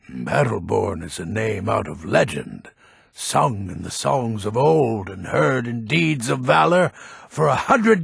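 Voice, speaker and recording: A grumpy old warrior nobleman from a fantasy game, with an extremely raspy, husky voice. He is proud and blustering, drawing his words out slowly and swinging widely in pitch for haughty emphasis. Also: a bit bright, no room sound, studio quality, heavily acted. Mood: boastful. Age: older. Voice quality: gravelly, raspy. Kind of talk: fantasy video game character acting.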